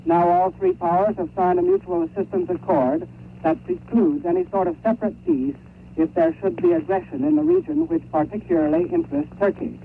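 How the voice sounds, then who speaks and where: A male radio correspondent delivers a scripted 1930s news report. His voice sits high and moves in lively swings. The sound is tinny and narrow, with faint shortwave crackle. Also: a little fast, creaky.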